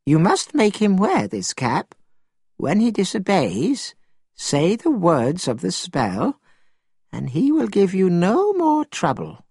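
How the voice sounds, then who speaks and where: Man as a kindly old woman, raised pitch, unhurried, gentle, mysterious, instructing, storybook character. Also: wildly swooping pitch, slightly rough, dry recording, studio quality, full character voice.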